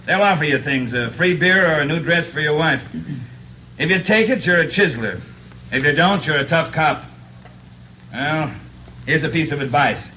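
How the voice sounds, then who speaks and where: A man's voice: fairly high pitch, pushed and bright, rough recording. An American man playing a middle-aged veteran police officer, giving a blunt, emphatic speech in a 1940s radio drama. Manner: plain-spoken, emphatic, hard-bitten. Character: blunt, earnest veteran male police officer lecturing cadets. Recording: hissy old 1940s radio recording.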